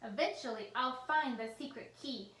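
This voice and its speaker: A woman voicing a furious, scheming male villain scientist who yells and cackles at a computer. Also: fairly high pitch, normal speed, natural voice. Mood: raging. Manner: yelling, cackling, turns syrupy. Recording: roomy echo with faint ambience.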